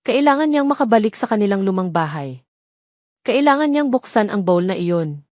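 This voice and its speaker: A woman's voice: mid-range voice, sing-song, normal speed, a little mellow, no echo, studio quality. A woman narrating a scripted romantic drama as a storyteller, in a dramatic reading style.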